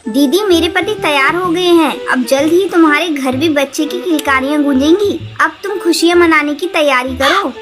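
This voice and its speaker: A woman's voice: high-pitched, moderate pace, hardly any pauses, over music, natural voice. A woman voicing an outspoken, emphatic female pigeon, a younger sister in a children's cartoon. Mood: caring, agreeable. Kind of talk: Hindi children's cartoon story acting.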